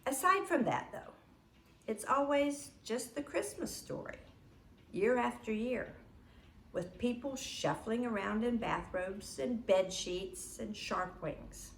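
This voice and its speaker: A woman reads a children's story aloud in a roomy, echoing space. Her pitch moves up and down in a lively way, and she takes her time with each phrase, acting out the dialogue as she goes. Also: slow, vocal fry, a little hiss or hum. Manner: animated, acts out dialogue. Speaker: storybook reader. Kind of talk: expressive read-aloud narration of a children's story.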